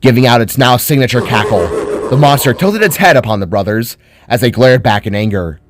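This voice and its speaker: A man's voice: mid-range voice, animated, fairly quick, background noise. A man reading a scripted fantasy story as a dramatic narrator.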